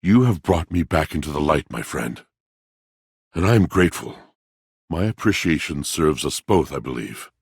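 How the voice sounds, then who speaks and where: An actor playing a grandiloquent, melancholy washing machine in a video game, with florid, courtly, old-fashioned diction and a gravelly voice. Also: male voice, sing-song, normal speed, dry recording, clean studio sound, full character voice. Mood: wistful, grateful. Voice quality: gravelly, deep. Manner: theatrical, florid, formal old-fashioned diction, savoring words. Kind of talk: theatrical video game character acting.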